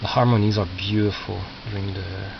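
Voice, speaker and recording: A man who is not a native English speaker, casually talking through his collection as a record collector, halting and muttering as he reads out labels, on a cheap video camera microphone. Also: fairly low pitch, level tone, vocal fry. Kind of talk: casual narrated show-and-tell of collection. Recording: muffled cheap video camera, loud noise.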